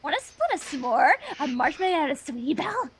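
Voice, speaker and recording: A woman's voice: high-pitched, big pitch swings, a little fast. A woman in casual, joking banter as a co-reader of fan fiction.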